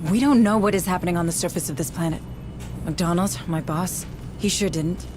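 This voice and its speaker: A woman's voice: lowish voice, a little fast, lightly acted. Actress as earnest woman administrator, music behind. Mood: urgent, reasoning. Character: determined young female station deputy administrator, urgent and earnest. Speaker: game character. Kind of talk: cinematic video game acting. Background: cinematic music.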